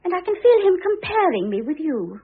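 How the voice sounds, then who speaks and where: An actress playing an affectedly innocent, self-absorbed young second wife in a classic radio drama, with a gushing, theatrical, wheedling manner. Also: female voice, high-pitched, big pitch swings, a little fast, narrow, tinny audio. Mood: self-sacrificing pose. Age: young adult. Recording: thin band-limited old radio recording.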